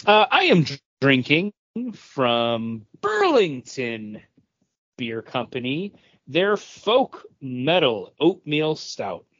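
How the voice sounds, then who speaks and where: A man chats casually as one of the hosts of a podcast. His intonation is lively and moves around a lot within a middle register. The audio is a bit dull and lo-fi. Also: medium pitch, slow pace. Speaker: podcast co-host.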